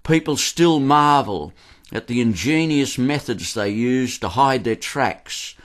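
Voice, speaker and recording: An Australian man narrates a scripted historical radio piece. His voice sits at a medium pitch, and he tells the account in a measured storyteller's way. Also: animated.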